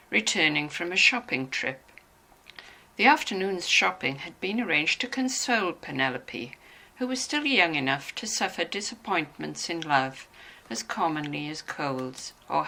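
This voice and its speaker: A woman's voice: fairly low pitch, gravelly, pushed and bright, poor audio. A woman reading scripted narration from a novel.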